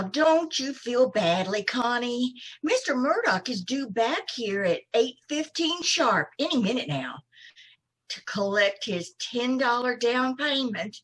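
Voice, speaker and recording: Woman acting a high, fluttery older lady. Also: animated, unhurried, rough recording. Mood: sweetly resigned. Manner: dithering, swooning, breathlessly flustered. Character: fluttery, lovestruck, scatterbrained older landlady. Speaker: amateur radio troupe actor. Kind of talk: old time radio comedy acting.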